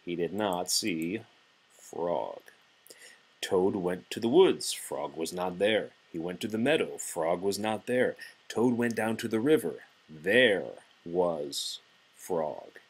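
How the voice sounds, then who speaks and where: A man reading a children's storybook aloud as a father would, in an animated, playful and deliberate way. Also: deep voice, slightly rough.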